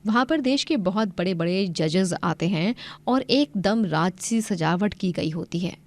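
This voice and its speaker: A woman's voice: medium pitch, lively intonation, measured pace. A woman narrator reading a scripted moral story.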